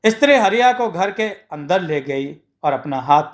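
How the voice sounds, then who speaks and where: A male storyteller with a notably high voice. He delivers scripted narration of a moral tale. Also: animated, unhurried.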